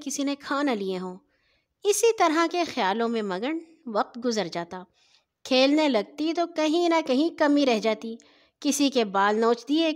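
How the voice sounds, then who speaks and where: A woman storyteller narrating scripted stories for children in a deliberate, phrase-by-phrase way. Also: sits high.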